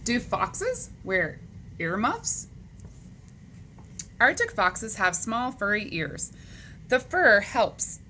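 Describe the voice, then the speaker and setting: A woman's voice: medium pitch, bright, forceful tone. A woman reading a children's book aloud, speaking to young listeners in a question-and-answer manner, on a noisy recording.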